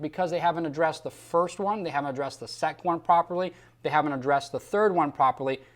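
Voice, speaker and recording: A man working as a sales trainer, giving instructional commentary in an emphatic, rhetorical coaching style and acting out example lines. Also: on the high side. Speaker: Medicare sales trainer and host.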